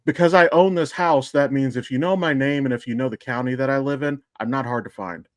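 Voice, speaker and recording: A man's voice: medium pitch, brisk, no echo, studio quality. A middle-aged man hosting a podcast in casual chat, rambling and swearing freely as he tells stories. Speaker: podcast host.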